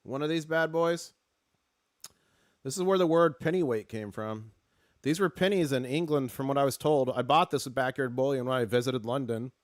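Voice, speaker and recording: A man hosting a casual live stream with a hoarse voice, chatty and excitable, reading viewer comments aloud. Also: mid-range voice, moderate pace, dry recording, studio quality.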